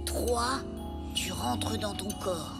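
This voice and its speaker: A woman voicing a sensible, patient schoolboy sidekick in a French animated comedy, matter-of-fact and a little hesitant. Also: medium pitch, drawn-out words, creaky, loud music behind the voice. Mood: coaxing, earnest. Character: sensible, patient schoolboy best friend, mildly exasperated.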